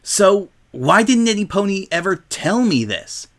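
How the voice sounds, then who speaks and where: A man's voice: high voice, very animated, unhurried, pitched above the performer's own voice, exaggerated voice. A man voicing a brash, defensive young female pegasus with a blunt, casual way of talking in a story reading. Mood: puzzled, blunt.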